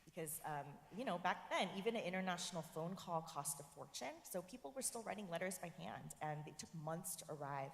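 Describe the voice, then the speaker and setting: A woman's voice: lowish voice, lively intonation, a little fast, gravelly, hardly any pauses. A woman whose first language is Korean, a professor and forum guest, speaking in an earnest, reflective way and often checking in with "right?". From United States. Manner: earnest, tags points with "right?". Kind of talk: reflective interview conversation. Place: church forum hall. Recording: echoey hall.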